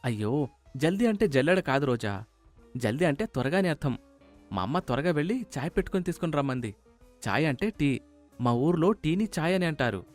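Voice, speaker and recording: Man voicing an easygoing, quick-talking young husband. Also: fairly high pitch, lively intonation, soft background music, natural voice. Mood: patient, explaining. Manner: explanatory, placating. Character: easygoing young husband, patiently explaining to wife and mother. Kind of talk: Telugu moral story cartoon dialogue.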